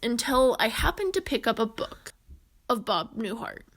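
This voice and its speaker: A woman in her twenties with a fairly high voice presents a scripted essay. She speaks as a narrator guiding viewers through her material. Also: very animated, moderate pace, a bit bright. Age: 20s.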